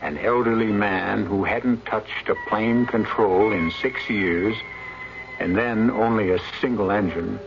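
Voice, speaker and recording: A man hosting a radio suspense anthology, narrating in a measured, theatrical, ominous manner. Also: on the low side, vocal fry. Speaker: radio drama host and narrator. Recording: muffled radio broadcast with music behind. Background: music.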